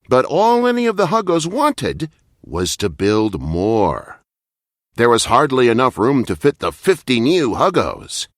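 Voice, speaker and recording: Male narrator for children's picture books: very animated pitch, slow deliberate read, dry studio-style sound. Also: dry recording. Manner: expressive, deliberate read-aloud delivery. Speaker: storyteller. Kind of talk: children's bedtime story narration.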